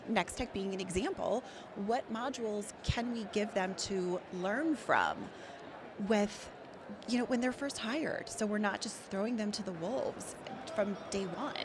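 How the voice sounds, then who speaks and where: A woman's voice: mid-range voice, expressive, a little slow. A woman speaking as an expert guest in an interview, giving emphatic, instructive advice in a conversational way. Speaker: practice management consultant and guest speaker. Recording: clear background noise, urban ambience.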